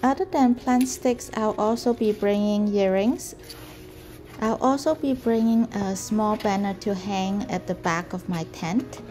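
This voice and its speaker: Woman, 30s, potter vlogger, unhurried and soft-spoken over background music. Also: medium pitch. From Canada. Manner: slow, gentle, careful delivery. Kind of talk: calm explanatory vlog narration. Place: home room indoors.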